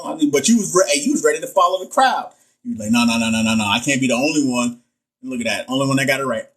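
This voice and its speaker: Playful husky man from Texas. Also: medium pitch, fairly bright tone, poor audio. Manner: playful, joking, slangy, reads viewer comments aloud. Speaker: nurse educator hosting a live stream. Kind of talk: casual live stream chat and quiz review.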